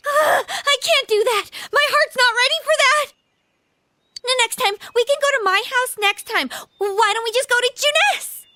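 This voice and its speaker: Very high, perky anime girl. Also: no room sound, exaggerated voice. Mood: flustered. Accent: American. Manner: perky, flustered, expressive. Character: bashful, earnest, tomboyish teenage girl in love. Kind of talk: anime video game dub acting.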